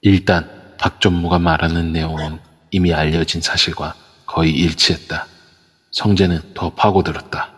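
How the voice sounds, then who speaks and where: A man's voice: sits low, level tone, normal speed, fairly bright tone, breathy edge, big-room sound. A man narrating an audio drama steadily from a script.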